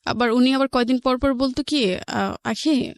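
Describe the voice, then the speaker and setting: A woman with a Bangladeshi accent, an interview guest recounting her personal life in a halting way, repeating phrases for emphasis. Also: high-pitched, dry recording, clean studio sound.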